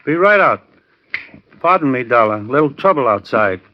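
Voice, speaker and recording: Casting: male actor as shifty American club owner, curt and streetwise, medium pitch, dull vintage radio crime show. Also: very animated, normal speed, a little hiss or hum, lightly acted. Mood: evasive. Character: brusque, evasive male nightclub boss. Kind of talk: 1940s-50s radio noir acting. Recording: dull vintage radio recording, music behind.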